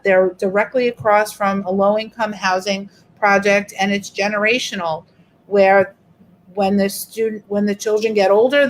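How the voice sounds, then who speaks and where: A woman's voice: medium pitch, poor audio. A middle-aged woman teacher, walking a group through an online workshop in a chatty, explanatory way. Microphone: video call.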